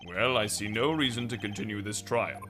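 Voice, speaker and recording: A man's voice: fairly low pitch, fairly quick, background noise, lightly acted. A man playing a stately, slightly befuddled older judge in a game fandub, with a pompous, formal delivery. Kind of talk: fandub voice acting of a visual novel game.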